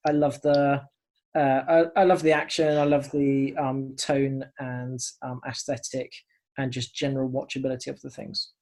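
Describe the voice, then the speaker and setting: A man with a mid-pitched voice chats casually on a remote podcast call. He is a little hesitant, feeling around for the right word as he goes. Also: level tone, moderate pace, darkish tone. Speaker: podcast co-host. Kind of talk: casual chat.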